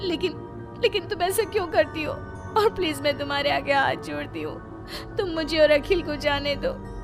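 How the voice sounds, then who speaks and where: Woman doing a scared wife begging, tearful high voice, horror cartoon, loud music. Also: normal speed. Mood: fearful, pleading. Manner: pleading, tearful. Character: frightened, pleading young wife in a horror story. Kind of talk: dramatised horror cartoon story voice acting.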